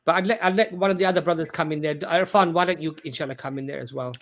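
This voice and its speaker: A man's voice: fairly high pitch, quick pace, a bit bright. A man hosting a religious discussion, answering a caller earnestly and explaining at length, with frequent "uh" and "you know". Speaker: host and Islamic speaker.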